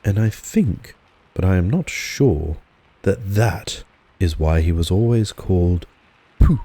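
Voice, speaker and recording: A man narrating a storybook as a relaxed audiobook, with gentle, measured, dramatised delivery. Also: low-pitched, no echo.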